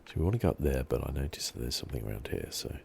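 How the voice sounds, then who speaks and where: A man giving quiet, understated ASMR commentary over a video game in an unhurried murmur. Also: rumbling low, lively intonation, vocal fry, slight background noise. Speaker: gaming streamer.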